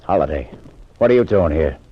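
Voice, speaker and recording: An actor playing a gruff, impatient homicide police lieutenant who talks bluntly and curtly, in 1940s radio acting. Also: male voice, a bass voice, animated, fairly quick, noisy background. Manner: blunt, curt. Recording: dull lo-fi 1940s radio broadcast.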